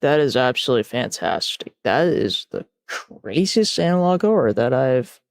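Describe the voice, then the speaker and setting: A man's voice: medium pitch, very animated, moderate pace, gravelly, no echo, studio quality. A man hosting a reaction video, giving casual, slangy commentary and muttered asides.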